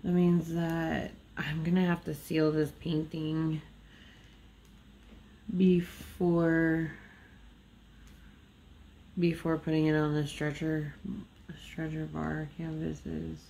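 A woman's voice: deep voice, flat delivery, takes it slowly, pauses often, warm tone. A woman just woken up, chatting in a rambling, nasal voice with fillers and small laughs while she works on a craft at a table indoors. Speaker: diamond painting vlogger.

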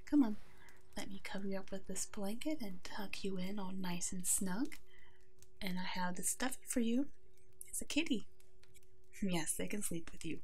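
Kind werewolf pack leader, played by a woman, mid-pitched, soft-spoken and motherly, protective and soothing, for ASMR audio roleplay. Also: normal speed, pauses often, slight background noise, natural voice. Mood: tender, comforting. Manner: gentle, nurturing, soft-spoken, often slipping into whispers.

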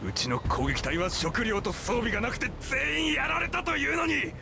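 Haughty enraged hunter, anime game drama, high creaky voice, accusatory shouting, loud music behind. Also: male voice, moderate pace. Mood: furious, threatening. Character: enraged, desperate raid leader hunter accusing his rivals.

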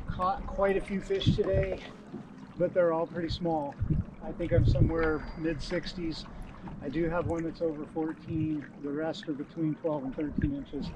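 High-voiced man narrating from his kayak. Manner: low-key, talking to himself between catches. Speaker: kayak angler. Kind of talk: casual self-filmed commentary. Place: outdoors on a kayak on a lake. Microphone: action camera mounted on the boat. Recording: outdoor wind and water noise.

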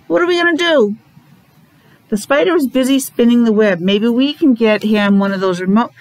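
A woman's voice: a little high, no echo. A middle-aged or older woman teacher reading a story aloud to young children, slightly muffled by a face mask, with chatty explanatory asides and questions. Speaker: preschool or elementary teacher. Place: classroom, indoors.

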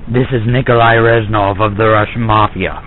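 A man putting on a menacing Russian mafia man for a prank phone call, making flat, slow, deadpan threats over a tinny phone line. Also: on the low side, close to an everyday voice. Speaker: prank caller.

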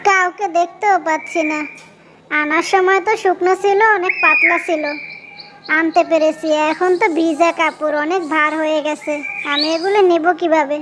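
A woman voicing a gentle, dutiful elder daughter-in-law in a cartoon, speaking politely and placatingly. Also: very high-pitched, steady tone, moderate pace, noisy background. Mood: troubled. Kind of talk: cartoon dub acting.

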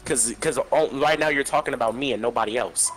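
A man's voice: mid-range voice, fairly quick, fairly bright tone, low-quality recording. A man arguing defensively over game voice chat, using slang and repeating himself. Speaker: player being called out. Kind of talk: heated voice chat argument.

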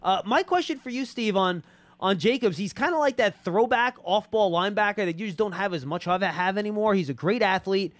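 Male American sports host, high-pitched, rapid-fire interviewer, probing. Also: lively intonation, no echo. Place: small indoor studio. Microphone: close broadcast boom microphone.

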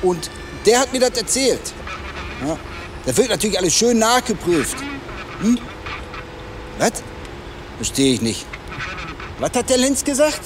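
Smug, high-pitched chatty detective. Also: male voice, sing-song, measured pace, long pauses, recorded from a distance, noisy background. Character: chatty, self-satisfied male local police detective. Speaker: actor in a radio drama. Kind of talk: naturalistic German radio crime drama acting.

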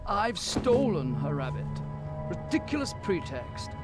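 Game cutscene official: high-pitched, pompous, scornful and wordy, loud music underneath. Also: male voice, sing-song, a little slow. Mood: scornful, irritated. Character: pompous, irritable, dismissive professional man recounting a case. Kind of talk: video game cutscene acting.

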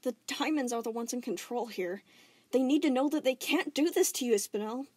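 A woman's voice: a little high, a little mellow, slightly rough, no echo, pitched above the performer's own voice. A woman doing an urgent, earnest boy. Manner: urgent, fast outbursts. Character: earnest half-gem boy, firm and upset.

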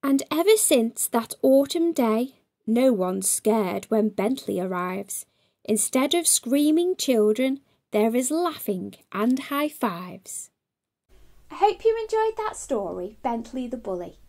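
A woman's voice: a little high, very animated, slow, dark tone. A middle-aged woman hosting a children's storytime, reading a picture book aloud expressively to young listeners.

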